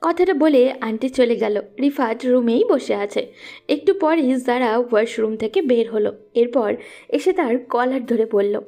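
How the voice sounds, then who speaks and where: মৃদু, নরম সুরের চড়া গলার নারী গল্পকথক. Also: female voice, fairly high pitch, measured pace, dark tone. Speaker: narrator. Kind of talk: scripted story narration.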